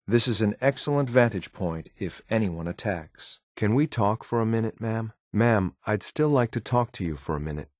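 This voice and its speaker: A stern, dutiful android soldier from a video game. His voice sits on the low side, with formal, restrained military phrasing. The sound is dry, with no room around it. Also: no room sound. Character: stern, dutiful male synth soldier, coolly loyal. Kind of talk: scripted game companion dialogue.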